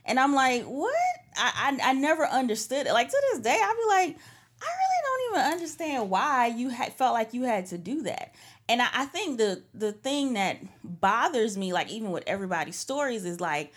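A woman from Trinidad telling personal stories in a candid, animated way during a casual panel chat, laughing often. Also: fairly high pitch, moderate pace. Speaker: panel guest.

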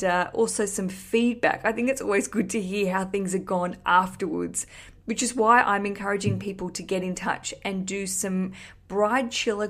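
A woman hosting a podcast, chatting casually and effusively to listeners in a cheeky, sweary, rambling way. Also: mid-range voice. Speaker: podcast host.

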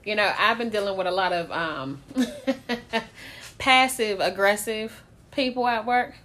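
A woman's voice: medium pitch, slow, pushed and bright. A woman talking candidly in a casual chat, blunt and swearing freely, often breaking into laughter.

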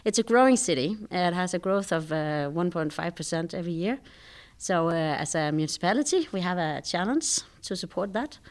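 A middle-aged woman with a Danish accent and a fairly low voice answers questions as an interview guest. Her Danish is native, and her English comes in hesitant, searching phrases in a casual conversational style. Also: lively intonation, a little fast. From Denmark. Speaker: interview guest, civil engineer.

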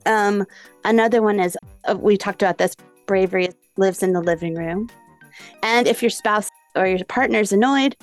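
A woman hosting a podcast in an informal, animated way, full of small asides and casual conversational phrasing. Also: mid-range voice, dry recording. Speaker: podcast host.

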